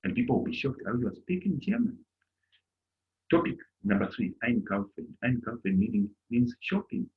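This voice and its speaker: A man's voice: mid-range voice, sing-song, moderate pace. A middle-aged man teaching a German lesson to an online class, acting out little dialogues in a raised voice. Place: indoor room on a video call. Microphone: laptop mic on a video call. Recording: lo-fi video call audio.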